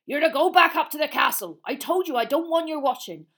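A woman voicing a huge, gruff, kind-hearted male gamekeeper in a storybook reading, urging the children away. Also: sits high, lively intonation, brisk, a bit bright, voice pushed up. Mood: urgent. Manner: urgent, shooing.

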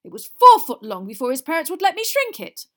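Woman acting an angry, shouting wizard dad. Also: very high-pitched, huge pitch swings, fairly quick, voice pushed up, exaggerated voice. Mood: furious, exasperated. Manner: loud, indignant shouting. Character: angry middle-aged wizard father, exasperated, scolding his sons.

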